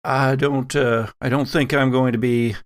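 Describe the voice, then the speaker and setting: A man playing a weary, injured, reluctant survivor in a tabletop horror game, halting and stammering. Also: mid-range voice, steady tone, lightly acted.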